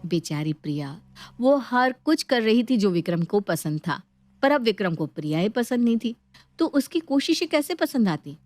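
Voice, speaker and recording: A woman's voice: on the high side, sing-song, moderate pace, a little mellow, no echo. A woman reading scripted narration as the storyteller of a domestic tale.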